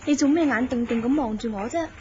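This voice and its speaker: A mysterious young beauty speaks coolly and will not be turned away, as a woman acts her out. Her voice is high. The recording is dull and lo-fi, with faint hiss. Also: quick pace, close to an everyday voice. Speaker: voice actress in a radio drama.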